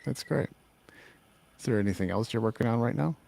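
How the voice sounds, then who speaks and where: A man's voice: mid-range voice, a little fast, vocal fry, darkish tone, no echo. A man hosting an interview, speaking in a thoughtful, reflective way. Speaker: host. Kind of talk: interview conversation.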